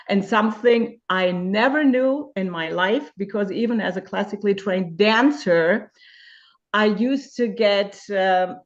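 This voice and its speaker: A woman's voice: mid-range voice, gravelly, lo-fi sound. A woman in her fifties from Germany, a guest in a webinar interview, speaking candidly and searching for words with frequent fillers. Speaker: guest sharing her experience.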